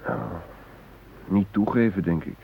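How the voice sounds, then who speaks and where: An actor playing an anxious but resigned hostage in a radio drama, reflective and hesitant, with wry fatalism. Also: male voice, on the low side, unhurried, vocal fry. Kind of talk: naturalistic radio drama acting. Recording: noisy, narrow-band old radio recording.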